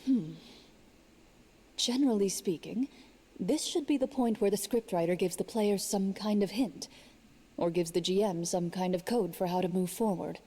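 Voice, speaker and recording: A woman voicing a reserved young duelist narrating as game master, calm and deadpan. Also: medium pitch, moderate pace, slight background noise, close to an everyday voice. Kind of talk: game voice acting.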